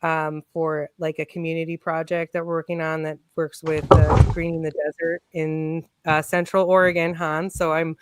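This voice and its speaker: A man's voice: a little high, flat delivery, normal speed, few pauses, heavy background noise. A man speaking as a guest on a casual video-call panel, rambling and upbeat with plenty of fillers. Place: home room on video call.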